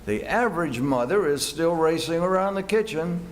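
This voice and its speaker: A man's voice: a little high, lively intonation, moderate pace, slight background noise, close to an everyday voice. An older man acting in a 1940s-style radio play as a young boyfriend and announcer. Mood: genial narrating. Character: bickering teenage boyfriend and smooth radio announcer. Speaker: amateur actor and announcer. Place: live stage performance.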